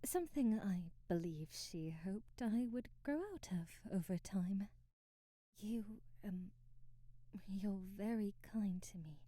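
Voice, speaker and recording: Woman playing a timid, soft-spoken young maid, slow and hesitant. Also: medium pitch, no echo, natural voice. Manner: hesitant, stammering, formal and deferential, breathy nervous laughs. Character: timid, devoted young maid, formal and easily flustered. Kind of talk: ASMR roleplay, period-drama speech.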